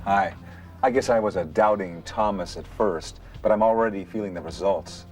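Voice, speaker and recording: A man's voice: on the low side, normal speed, darkish tone, faint music behind, close to an everyday voice. A man playing a heart patient, speaking conversationally in a scripted medical dramatization. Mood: convinced.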